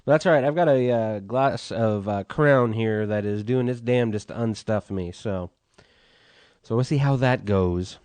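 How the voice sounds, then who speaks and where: A man's voice: lowish voice, moderate pace, darkish tone, clear, resonant tone, dry recording. A man hosting a radio show, chatting loosely and profanely between songs while stuffed up from a cold. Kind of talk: casual rambling on-air chat.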